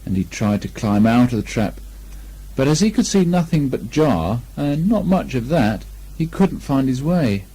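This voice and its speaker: A man reading a children's story aloud as an expressive narrator. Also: mid-range voice, measured pace, slightly rough. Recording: lo-fi 1980 amateur recording.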